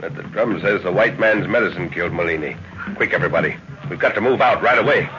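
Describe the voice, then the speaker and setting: A man's voice: deep voice, lightly acted. An actor playing a decisive older professor and father who leads a jungle expedition in a 1930s radio drama. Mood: urgent, commanding. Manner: musing hums, brisk orders. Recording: muffled, hissy old 1930s radio recording.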